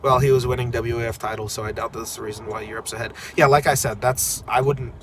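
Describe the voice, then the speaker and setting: A man's voice: mid-range voice, steady tone, quick pace, a little hiss or hum. A young man with a stuffy cold, rambling casually through viewer comments on a live stream. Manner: rambling, filler-heavy, candid. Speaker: arm wrestler streaming a live recap.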